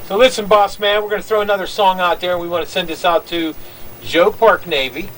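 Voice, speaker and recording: A man with a fairly high voice hosting a radio oldies show in quick, chummy patter. He sounds a little off the mic, with a light hiss in the background of an old broadcast tape. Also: fairly even intonation, a bit bright, far from the mic, poor audio. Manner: upbeat, chummy, fast patter. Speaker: radio disc jockey host.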